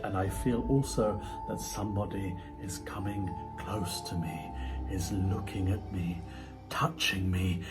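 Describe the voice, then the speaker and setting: A man's voice: mid-range voice, close to an everyday voice. Man acting a haunted, theatrical narrator over music. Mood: terrified, struggling. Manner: theatrical, intense, swinging from hushed dread to agitation. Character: frightened, tormented man slowly unravelling, confessing his night terrors. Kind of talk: dramatic performed reading of classic ghost story. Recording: music behind the voice.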